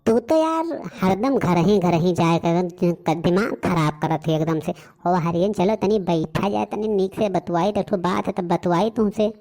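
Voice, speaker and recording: A woman's voice: lowish voice, unhurried, warm tone. A woman voicing a lovestruck young village lad in a comic cartoon, with an Awadhi accent, coaxing and pleading with pet names. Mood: pleading, aggrieved. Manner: wheedling, full of pet names. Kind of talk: comic rustic cartoon dialogue.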